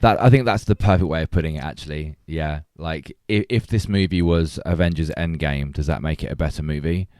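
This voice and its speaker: A man's voice: very deep voice, brisk, hardly any pauses, a little mellow, clean tone, no room sound, clean studio sound. A man hosting a casual film discussion podcast, talking reflectively and circling back to rephrase his points. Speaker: podcast host.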